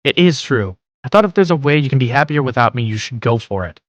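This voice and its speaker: A man voicing a studious, modest teenage boy in a manga dub, calm, earnest and self-deprecating. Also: mid-range voice, quick pace, dry recording, close to an everyday voice. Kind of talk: fan manga dub with narration.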